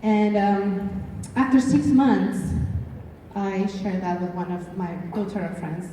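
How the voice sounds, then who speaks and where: A woman's voice: mid-range voice, steady tone, takes it slowly. Woman in a very echoey hall. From Mexico City, Mexico. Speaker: panel speaker. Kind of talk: personal testimonial to an audience. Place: large echoing event hall. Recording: echoey hall, distant mic, faint noise.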